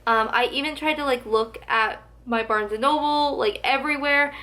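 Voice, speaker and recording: A fairly high-voiced young woman gushes to the camera about her favorite books, talking casually and saying "like" a lot. Her voice is a little hoarse, as if it comes and goes. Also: moderate pace, sharp tone. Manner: gushing, chatty, heavy use of "like". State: slightly losing her voice. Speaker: book vlogger. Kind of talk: casual book tag vlog.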